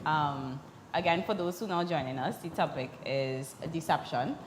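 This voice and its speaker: A woman co-hosting a casual talk show, animated and quick to interrupt, repeating words for emphasis. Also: low-pitched, roomy sound, big-room sound, noisy background. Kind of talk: casual chat.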